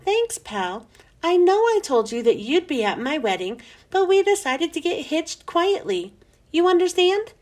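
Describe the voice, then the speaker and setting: A woman's voice: extremely high pitch, sing-song, unhurried, darkish tone, pitched above the performer's own voice, exaggerated voice. A woman voicing a friendly young male janitor, casual and earnest, in a children's storybook reading. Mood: chummy, earnest. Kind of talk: children's storybook read-aloud.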